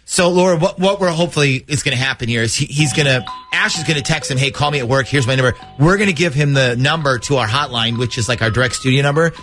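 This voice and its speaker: A man hosting a morning radio show, bantering in a lively way with a caller and colleagues. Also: mid-range voice, fast, hardly any pauses, soft background music.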